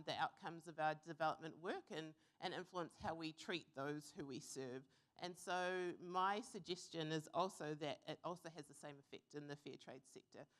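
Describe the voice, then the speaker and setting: Earnest woman giving a conference talk. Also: lowish voice. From New Zealand. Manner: earnest, reflective, pauses with fillers. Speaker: conference speaker. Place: conference hall.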